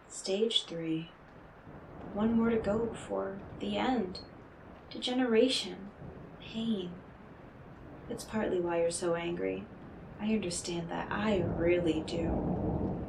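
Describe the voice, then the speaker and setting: A woman playing an enigmatic, smooth-talking otherworldly stranger in an audio roleplay, speaking in a coaxing, knowing, theatrical way with dramatic pauses. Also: medium pitch, darkish tone. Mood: soft, knowing. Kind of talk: scripted audio roleplay monologue addressed to the listener. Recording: roomy echo, clearly noisy background.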